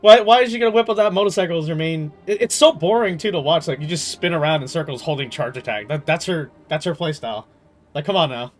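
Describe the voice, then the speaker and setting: Animated man ranting casually over music. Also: a little high, fast talker. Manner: animated, slangy, exasperated ranting with swearing. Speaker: game streamer. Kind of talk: casual gaming commentary.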